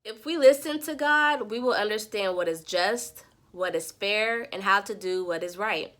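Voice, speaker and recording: A woman's voice: medium pitch, normal speed, a bit bright. Congested woman teaching a Bible summary. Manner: earnest, preacherly teaching. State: congested, nasal from a sinus infection. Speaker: Bible study vlogger. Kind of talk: devotional Bible summary vlog.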